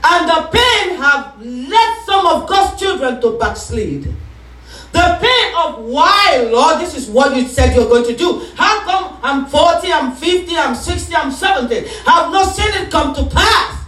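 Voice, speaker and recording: A woman pastor preaching a sermon, calling for responses and rising into shouts. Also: high-pitched, expressive, unhurried, recorded from a distance. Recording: echoey room, voice from off-mic.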